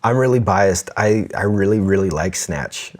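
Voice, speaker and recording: A man's voice: low voice, normal speed. A man co-hosting a casual podcast, chatting with enthusiasm, laughing often and reading listener letters aloud. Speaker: podcast co-host.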